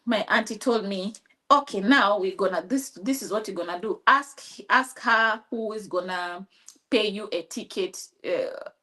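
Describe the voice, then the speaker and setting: Kenyan woman, interview guest, mid-pitch, stop-start storytelling full of restarts, voices the people she quotes. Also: sharp tone. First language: Swahili. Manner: halting, with many restarts and fillers; acts out quoted dialogue. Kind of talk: personal storytelling in conversation.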